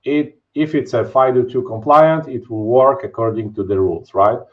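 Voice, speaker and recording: A man's voice: medium pitch, moderate pace. A man in his thirties with a Polish accent, presenting a live technical webinar from home over a webcam, explaining casually with many fillers and tag questions. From Poland. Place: home office, indoors. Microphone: webcam video call. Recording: dull, lo-fi stream audio.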